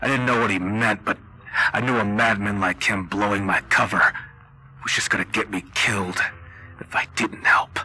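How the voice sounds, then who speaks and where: A man voicing a weary, haunted war-veteran survivor in a halting, grave, half-whispered game roleplay monologue. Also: fairly low pitch, fairly even intonation, pushed and bright, pitched below the performer's own voice, rough recording. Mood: grim, tense. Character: haunted, weary male war-veteran survivor recording his account on tape. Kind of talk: slow dramatic post-apocalyptic horror game roleplay monologue.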